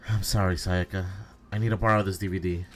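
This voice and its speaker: A man's voice: low-pitched, fairly even intonation, fast talker, pitched below the performer's own voice, lightly acted. A man voicing an earnest, anxious teenage schoolboy in amateur visual novel dialogue, hesitant and prone to stammering. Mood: puzzled, pensive. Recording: music behind.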